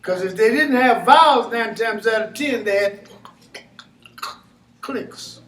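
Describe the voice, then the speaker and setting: A man giving an informal lecture to a small live audience, animated and often questioning the listeners. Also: high-pitched, slow pace. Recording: echoey room, mic far off.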